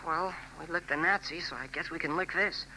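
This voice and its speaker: An actor playing an eager, excitable young cub reporter in a 1940s radio play, with a boyish manner, on an old broadcast with faint noise. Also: male voice, fairly high pitch, brisk, rough recording.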